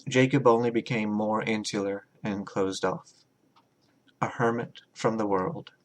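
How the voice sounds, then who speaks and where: A man reading his own horror short story as a scripted, dramatic narration, deliberate and measured, with pauses. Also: on the low side, fairly even intonation.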